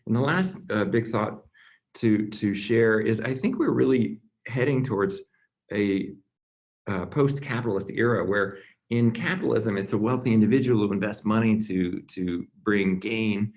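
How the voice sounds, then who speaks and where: A man with a fairly low, gravelly voice, hosting a conference call. He talks in a reflective, big-picture way. His tone is loose and unhurried. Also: darkish tone. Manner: reflective, big-picture, hands over to the next speaker.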